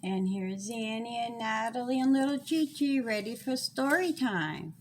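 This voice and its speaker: Slow, high-voiced woman reading a children's story. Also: animated, slight background noise. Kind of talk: children's storybook read-aloud.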